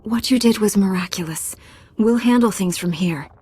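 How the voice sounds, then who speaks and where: An actress playing a resolute, merciful Jedi Knight in a video game, speaking in an earnest, composed way. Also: female voice, medium pitch, normal speed, warm tone, slightly rough, close to an everyday voice. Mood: determined. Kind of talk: video game dialogue acting.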